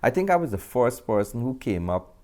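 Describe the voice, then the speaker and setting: A man's voice: medium pitch, very animated, brisk, darkish tone. A middle-aged man with a Guyanese accent, answering conversationally as an actor and film director being interviewed.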